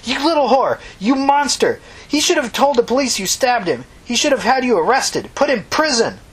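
A woman's voice: a little high, big pitch swings, moderate pace, husky, pitched above the performer's own voice, full character voice, poor audio. A woman voicing a venomous, scornful woman who accuses emphatically in an audiobook story. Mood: furious, accusing. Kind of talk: audiobook character dialogue.

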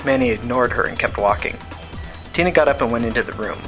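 Man narrating a novel, measured and matter-of-fact. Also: medium pitch, fairly bright tone, faint music behind. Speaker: podcast narrator. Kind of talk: scripted audiobook narration of fiction.

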